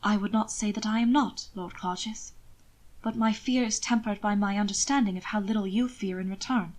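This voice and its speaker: A woman's voice: mid-range voice, steady tone, moderate pace, a little mellow, close to an everyday voice. A woman voicing a prim, wary, sardonic young husband who speaks formally in an audiobook story. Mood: guarded.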